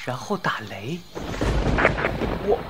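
An actor plays a young man who is crude and easily flustered in an audio drama. His pitch leaps and drops freely as he exclaims in slang. Music plays clearly behind him. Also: sing-song, slow pace. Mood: confused, irritated.

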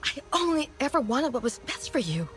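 A woman's voice: high-pitched, very animated, brisk, soft background music. A woman acting a protective, maternal mentor in a dramatic game scene, earnest and defensive. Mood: pleading, defensive.

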